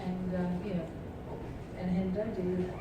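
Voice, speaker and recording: A woman's voice: on the low side, little pitch movement, moderate pace. Woman moderating a panel, echoey room. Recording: echoey hall, distant mic, noisy.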